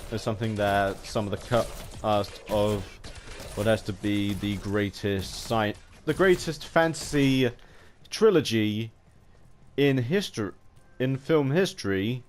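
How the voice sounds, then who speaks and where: A British man with a fairly low voice delivers unscripted gaming commentary. He talks in a halting, rambling way, circling back to repeat and reword phrases. A faint layer of background noise sits under the voice. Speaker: video game vlogger.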